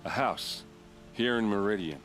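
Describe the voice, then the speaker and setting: A low-voiced captain of the guard, weighed down by grief and drink. The performance is cinematic video game acting, with music quietly playing behind him. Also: male voice, expressive, normal speed. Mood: subdued. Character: grieving, hard-drinking male captain of the guard.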